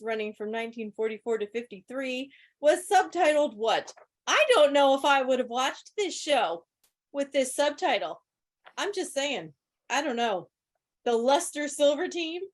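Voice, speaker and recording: A woman hosting a quiz in a casual, chatty style, with wry joking asides, speaking on an online video meeting. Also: high voice. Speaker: quiz host. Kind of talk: casual live trivia commentary.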